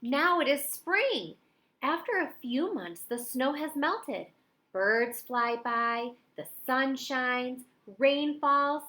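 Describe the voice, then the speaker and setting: A middle-aged woman reading a children's picture book aloud in an expressive way with dramatic emphasis. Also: high-pitched, slow pace. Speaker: storybook reader.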